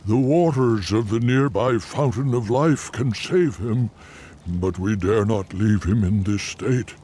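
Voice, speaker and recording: Humble furry tribesman for fantasy game: low, unhurried, earnest, formal and respectful. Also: dark tone, slight background noise. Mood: worried pleading. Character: earnest male furbolg bear-folk tribesman, pleading and humble. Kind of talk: video game cutscene acting.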